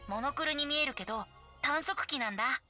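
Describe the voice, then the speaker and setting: A carefree young bard, boyish and teasing, with a bright, high voice. The lines are breezy anime game acting over faint music. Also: female voice, brisk. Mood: breezy, cheerful.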